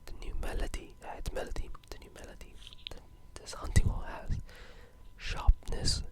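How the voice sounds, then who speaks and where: A breathy, unvoiced whisper in a casual, rambling ASMR video game discussion, with an enthusiastic gamer's way of talking. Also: normal speed.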